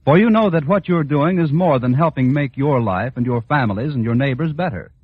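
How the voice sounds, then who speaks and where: A man's voice: medium pitch, expressive, a little fast, breathy edge, no room sound. A man announcing on 1940s radio in a scripted public-service style. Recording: dull vintage 1940s radio recording.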